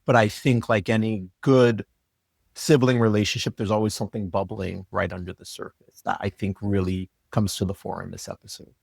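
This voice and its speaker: A man's voice: lowish voice, level tone, fairly quick, gravelly, darkish tone, breathy edge, dry recording, clean studio sound. A man chatting casually as an interview guest, explaining things as a story editor would.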